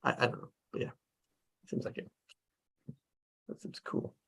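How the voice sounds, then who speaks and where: A man's voice: moderate pace, a bit bright. A man talking casually about a script at a table read, rambling with many restarts.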